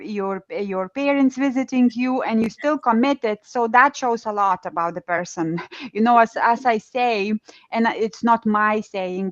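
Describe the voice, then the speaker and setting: A woman's voice: mid-range voice, dry recording, highs cut off. A woman with an Eastern European accent hosting a casual conversation, talking freely with many restarts and fillers. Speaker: podcast host.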